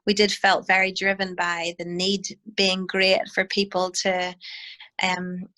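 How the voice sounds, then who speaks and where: A woman's voice: mid-range voice, completely flat delivery, measured pace, pushed and bright. A Northern Irish woman in her thirties, a hymn writer talking through an interview over a video call, thoughtful with many restarts. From Northern Ireland.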